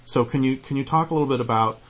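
A man's voice: medium pitch, fast talker. A man from Nebraska and Colorado asking interview questions, rambling and correcting himself with many fillers. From born in Nebraska, grew up in Colorado.

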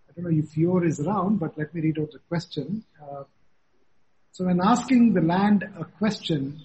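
Halting man moderating a muffled call. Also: a little high, warm tone. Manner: halting, with many fillers. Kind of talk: moderating an online panel. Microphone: video call. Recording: dull video call audio with faint noise.

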